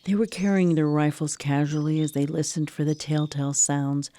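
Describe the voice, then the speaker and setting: A woman narrating a scripted radio drama in a measured storytelling manner. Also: deep voice, fairly even intonation, warm tone.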